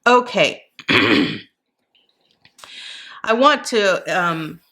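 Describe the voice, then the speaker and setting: A woman giving a monthly tarot reading in a reflective, encouraging way, with a rough voice and a slight cough. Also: on the low side, big pitch swings, extremely slow, sharp tone. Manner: reflective and encouraging, with many fillers. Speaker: psychic tarot reader.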